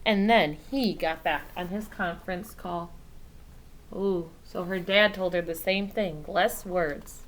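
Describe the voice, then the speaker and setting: A woman reading a picture book aloud to children, expressively, pausing to explain things in simple asides. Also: fairly low pitch, poor audio. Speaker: read-aloud storyteller.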